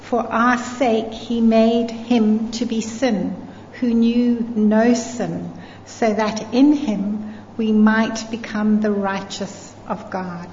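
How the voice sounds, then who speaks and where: A woman reading a scripture passage aloud, careful and unhurried. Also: on the high side, fairly even intonation, a little mellow, a little hiss or hum. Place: church hall. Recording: echoey hall, dull sound, faint ambience.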